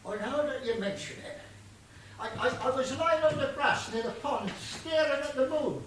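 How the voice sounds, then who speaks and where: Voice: high-pitched, moderate pace, lightly acted. An actor playing a muddled, literal-minded gardener in a comic stage play, stammering and earnestly deferential as the character is questioned. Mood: eager, earnest. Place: stage of a small theatre. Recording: distant echoey theatre recording, faint noise.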